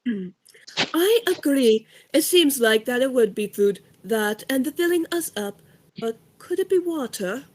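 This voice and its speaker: Haughty schoolboy wizard voiced by a woman, slightly high pitch, slow drawl, disdainful, for audio drama. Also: expressive, a little mellow, low-quality recording. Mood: thoughtful. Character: haughty, snobbish, reluctant teenage wizard boy. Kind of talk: fan audio drama acting.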